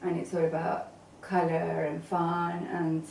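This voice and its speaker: A woman's voice: fairly low pitch, completely flat delivery, a little slow, creaky, darkish tone. A woman who grew up in England and Venezuela, talking about her design work as an interview guest, hesitant and full of fillers and restarts. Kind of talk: casual interview answers. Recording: echoey room, mic at a distance, faint noise.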